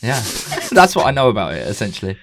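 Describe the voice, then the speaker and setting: Young man in his 20s, podcast host, animated pitch, chatty, laughs easily. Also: brisk, gravelly, background noise. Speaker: podcast host and touring comedian. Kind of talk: casual comedy podcast chat.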